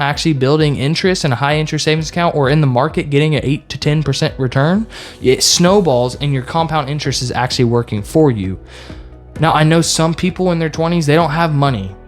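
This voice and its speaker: Young male vlogger, slightly high voice, earnest lecturing tone, soft music bed. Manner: earnest and repetitive, lecturing viewers directly. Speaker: finance vlogger. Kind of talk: casual advice vlog talking to camera.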